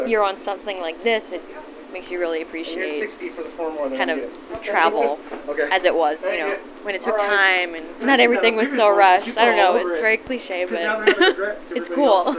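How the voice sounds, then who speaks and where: A young woman from New Orleans chatting casually and informally in an interview, through a vintage 1940s radio filter. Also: fairly low pitch. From New Orleans, United States. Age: young adult. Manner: chatty, informal, self-deprecating. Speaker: passenger and reenactor being interviewed. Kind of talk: casual, spontaneous interview chat. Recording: tinny old radio sound, crowd behind.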